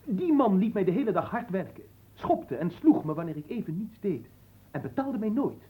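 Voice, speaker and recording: A man's voice: high voice, very animated, moderate pace, warm tone, rough recording. A man acting in a radio drama as a humble, earnest young manservant, speaking formally and politely with some hesitance. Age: young adult.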